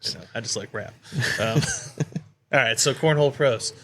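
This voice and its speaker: A man's voice: medium pitch, normal speed, a bit bright, a little hiss or hum. A man co-hosting a podcast in casual, conversational chat. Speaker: podcast co-host.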